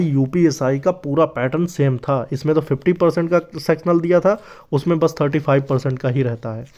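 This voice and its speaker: Guy doing an explainer for his viewers, voice a bit on the high side, talks to them like brothers, keeps checking they get it. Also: darkish tone. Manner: familiar, brotherly direct address with repeated check-in tags. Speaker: YouTube presenter of exam updates. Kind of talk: informal explainer talk to viewers.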